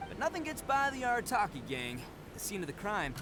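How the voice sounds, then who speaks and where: A man's voice: high-pitched, huge pitch swings, a little fast, music in the background. A man voicing a brash, proud, big-hearted young oni gang leader in a video game, with a boisterous, dramatic way of talking. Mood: emphatic. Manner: boisterous, dramatic, swaggering storytelling.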